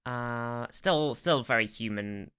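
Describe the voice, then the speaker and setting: A man co-hosting a podcast in casual fan discussion, enthusiastic and explanatory, quick to interject. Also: medium pitch, a bit bright, smooth. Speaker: podcast co-host.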